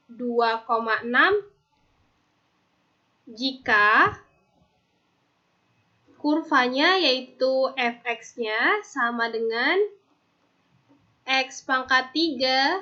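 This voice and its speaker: A woman teacher from Indonesia explaining math step by step in a recorded online lesson, patiently slowing down on formulas. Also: high-pitched, pushed and bright, highs cut off. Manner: patient, steps through formulas aloud, slows on terms.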